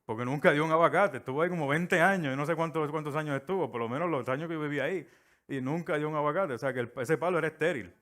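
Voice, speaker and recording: A man's voice: on the high side, quick pace, a bit bright. A middle-aged man from Puerto Rico with a Puerto Rican Spanish accent, preaching a sermon and telling stories, acting out the voices he quotes. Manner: storytelling, acts out quoted voices.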